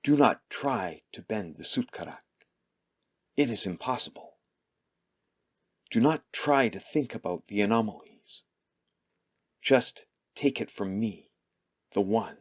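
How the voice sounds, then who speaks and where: A slow, husky-voiced hero acted by a man speaks with halting emphasis, like someone awed by his own destiny. It is radio-play acting, recorded a little dull, with music playing underneath. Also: lowish voice, animated. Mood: solemn, earnest. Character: Neil, awestruck hero coming to believe he is the One.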